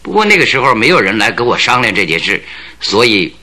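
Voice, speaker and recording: A commanding detective in a radio play. His voice is lowish but forceful and lively in pitch, with a deliberate, probing manner. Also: full character voice. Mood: reflective. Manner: deliberate, questioning, authoritative. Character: keen, commanding, reflective male detective. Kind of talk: radio drama acting.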